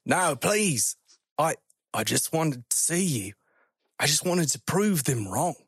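Man acting a pleading, earnest creature hunter, husky. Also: a little high, sing-song, a bit bright, no room sound, clean studio sound, pitched above the performer's own voice, exaggerated voice. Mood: frightened pleading. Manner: pleading, halting. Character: earnest, frightened, obsessive male creature-hunter pleading to be believed. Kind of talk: amateur voice acting of a story.

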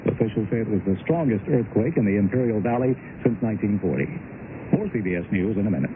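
Man, American accent, radio news bulletin, quick pace, tinny broadcast with faint noise. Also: fairly low pitch, animated.

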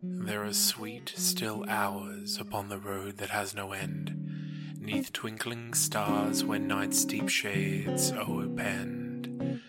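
A man reciting poetry expressively, in a measured and dramatic way. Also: on the low side, big pitch swings. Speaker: poetry podcast reader. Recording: loud guitar music behind voice. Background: acoustic guitar music.

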